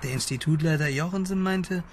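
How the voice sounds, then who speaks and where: A man's voice: a little high, fairly quick, a little hiss or hum, natural voice. A man playing a hesitant, slightly shaken witness, talking casually in a radio drama. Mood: wry, uneasy. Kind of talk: conversational radio drama dialogue.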